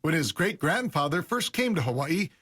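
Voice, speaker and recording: A man's voice: fairly high pitch, big pitch swings, normal speed, fairly bright tone, slightly rough. A man reporting a business feature for television in a polished, scripted news delivery.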